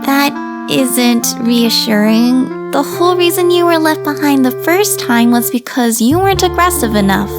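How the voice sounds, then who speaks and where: Woman acting tiny female chihuahua, high voice, grumpy, snappy, cynical, visual novel character, music behind. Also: lively intonation, measured pace. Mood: irritated. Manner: snappy, sardonic.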